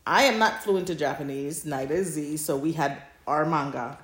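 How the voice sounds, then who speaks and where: A woman with a low-pitched voice talks casually as a podcast co-host. She is playful and emphatic, informal in her wording, and the room adds noticeable echo around her. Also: moderate pace, a bit bright. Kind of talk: casual chat about audio dramas. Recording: roomy, echoey sound.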